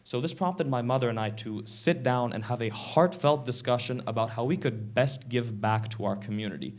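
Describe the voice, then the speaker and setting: A sixteen-year-old boy from Canada giving a prepared stage talk in an earnest, measured way, a little sick. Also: mid-range voice. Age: teens. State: slightly sick, clearing throat. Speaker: presenter. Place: event stage.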